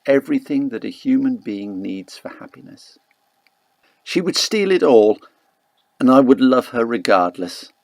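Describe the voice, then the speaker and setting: Gravelly man reading a literary novel. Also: medium pitch, darkish tone, no echo. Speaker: audiobook narrator. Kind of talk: scripted literary narration of a first-person novel.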